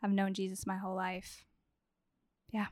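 A woman's voice: mid-range voice, monotone, normal speed, darkish tone, no room sound, studio quality. A woman in her twenties from Minnesota, a podcast guest chatting casually and laughing easily. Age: 20s. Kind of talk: casual chat.

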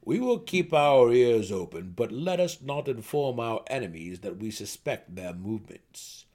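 A man's voice: mid-range voice, lively intonation, a little mellow. Man voicing a stately, commanding gnome king. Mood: calm, wary. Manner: stately, deliberate, authoritative. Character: gnome king, male, commanding, measured, calming his anxious council. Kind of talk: fantasy audiobook character voice.

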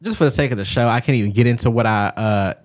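A man's voice: mid-range voice, fast talker. A young man from Georgia with a Southern accent, chatting casually as a podcast co-host. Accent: Southern American. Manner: chatty storyteller, frequent likes and ums. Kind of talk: casual chat.

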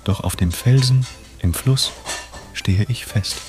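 A man's voice: fairly low pitch, slow pace, over music. A man playing a lofty village philosopher who muses in flowery phrases in a comic audio drama. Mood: lofty, musing. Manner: flowery, declaiming.

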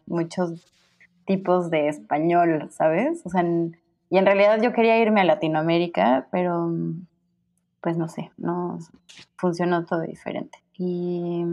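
A woman's voice: medium pitch, darkish tone. A young woman with a Mexican accent, a guest chatting casually, rambling with many fillers and restarts. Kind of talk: casual conversation.

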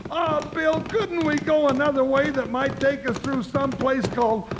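Comic western radio sidekick: very high pitch, blustering nervous drawl, heavy noise on an old recording. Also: male voice, fairly even intonation, normal speed. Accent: American Western drawl. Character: jittery comic cowboy sidekick, folksy and blustering.

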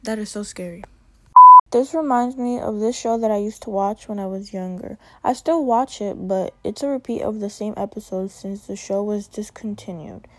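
A woman's voice: medium pitch, normal speed, dark tone, slight background noise. A young woman hosting a casual chat, describing her fears and a cartoon in a chatty, vivid way. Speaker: host. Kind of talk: casual talk.

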